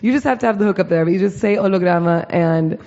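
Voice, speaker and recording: A woman's voice: medium pitch, fast talker, mellow. Joking woman co-hosting a podcast. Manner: laughs often, light and joking. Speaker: podcast co-host. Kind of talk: casual chat. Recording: dull lo-fi podcast audio.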